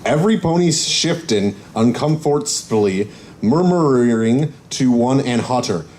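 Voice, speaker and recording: A man's voice: mid-range voice, animated, unhurried, darkish tone, slight background noise. A man on a live panel reading a story aloud, stumbling over words. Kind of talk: halting live read-aloud of comic fan fiction. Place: convention panel room.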